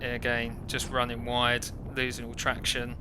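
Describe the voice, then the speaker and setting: British man, fairly even-toned, over engine rumble. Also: measured pace. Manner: self-deprecating, wry. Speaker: kart racer narrating own race footage. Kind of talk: informal first-person race commentary. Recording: clear engine rumble behind the voice.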